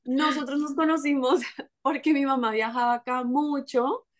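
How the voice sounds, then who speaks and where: A woman in her thirties from Colombia with a Colombian Spanish accent, a podcast guest telling stories in lively casual chat with quick restarts. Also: sits high. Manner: lively storytelling with frequent restarts and laughs.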